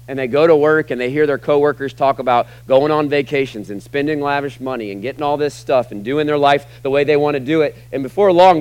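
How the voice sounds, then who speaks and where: A middle-aged American man preaching a sermon to a church congregation, emphatic, moving between loud, punchy lines and quiet, low asides. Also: no echo. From United States. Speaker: pastor. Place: church sanctuary.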